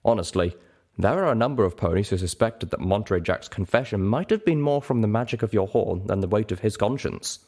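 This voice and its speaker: A man voicing a gentle male pony legal clerk, formal and matter-of-fact, in a story reading. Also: on the low side, animated, brisk. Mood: formal, frank.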